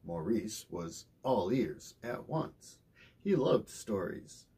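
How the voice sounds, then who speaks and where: A middle-aged man reads a bedtime story aloud with lively swings of pitch. His voice is raspy and he takes his time, telling it in a theatrical style. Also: slow. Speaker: storybook reader. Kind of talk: expressive scripted narration of a children's bedtime story.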